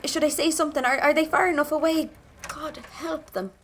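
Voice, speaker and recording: A woman's voice: sits high, fairly even intonation, brisk, slight background noise, natural voice. An actress with a Dublin Irish accent playing a tense, hardened young rebel woman, narrating a 1920s audio drama in the first person. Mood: urgent, frightened.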